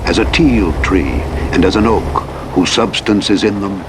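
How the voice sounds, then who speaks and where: A man reading scripture as a narrator in a solemn, measured, declamatory manner. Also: sits low. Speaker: audiobook Bible narrator. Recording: engine and road noise over playback. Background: truck simulator vehicle noise.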